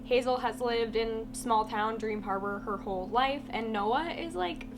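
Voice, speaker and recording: A woman's voice: a little high, a little slow. A woman with a congested, half-lost voice from a cold, giving a chatty, blunt book review vlog with lots of "like" fillers. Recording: steady humming background noise.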